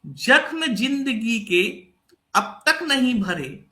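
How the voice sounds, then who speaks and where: A man's voice: very high voice, sing-song, sharp tone. Young man with a sore throat reciting ghazals. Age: young adult. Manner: recites each line twice, slow and deliberate. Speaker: ghazal poet.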